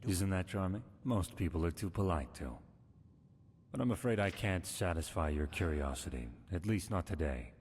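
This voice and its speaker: A man's voice: low-pitched, lively intonation, moderate pace, a little mellow, husky, long reverb tail. An actor playing a wry, guarded, aloof man of a desert-born order in a video game, speaking with polite, sardonic evasiveness. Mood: sardonic, evasive. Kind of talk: video game character dialogue.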